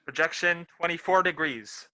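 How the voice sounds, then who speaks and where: Woman as low, forceful artillery officer. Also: moderate pace, rough recording. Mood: urgent, commanding. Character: stern artillery officer commanding a gun battery. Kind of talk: radio play acting. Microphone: video call.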